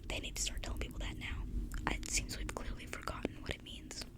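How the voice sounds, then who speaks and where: A woman speaks in a soft, breathy, intimate whisper, reading a book aloud in ASMR style with casual asides. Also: a little fast. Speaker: ASMR video creator. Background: thunderstorm outside the window.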